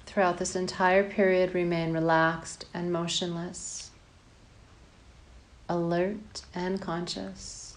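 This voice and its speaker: Slow, even-toned woman guiding meditation. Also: fairly low pitch. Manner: calm, measured, pausing between short phrases. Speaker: guided meditation teacher. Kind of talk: guided meditation, slow instructions.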